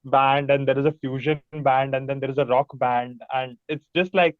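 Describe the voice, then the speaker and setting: A man's voice: mid-range voice, steady tone, normal speed, dry recording. A man with an Indian accent, a musician being interviewed, chatting casually in a rambling, enthusiastic, informal way. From Mumbai, India. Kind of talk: casual chat. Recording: thin phone-like sound, fairly dry.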